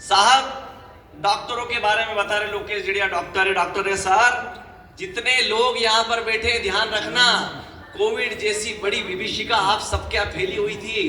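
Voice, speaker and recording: A man's voice: high-pitched, fairly even intonation, normal speed, sharp tone, roomy sound, in a large hall, recorded from a distance, slight background noise. A man performing as a poet on stage, making wry jokes at the audience. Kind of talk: stage comedy banter. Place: large hall with live audience.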